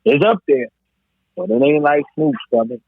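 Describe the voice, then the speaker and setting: A man's voice: on the high side, animated, normal speed, a crowd in the background. A man on a podcast panel in a casual, emphatic debate, swearing freely. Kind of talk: casual hip-hop debate. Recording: thin phone-like line.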